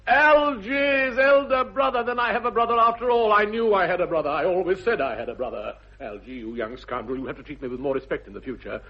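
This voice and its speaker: An actor playing an earnest, excitable upper-class English gentleman in a radio comedy, theatrical and formal in his phrasing. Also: high-pitched, fast talker, few pauses, slightly rough. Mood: excited. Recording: dull old radio recording.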